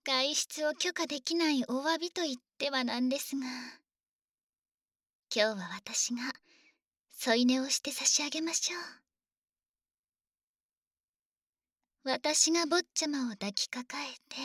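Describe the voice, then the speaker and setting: A woman voicing a devoted, formal female dragon maid who dotes on her young master, in a soft, often whispered sleep roleplay. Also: sits high, normal speed, no echo, studio quality. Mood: doting. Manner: formal, doting and gently teasing.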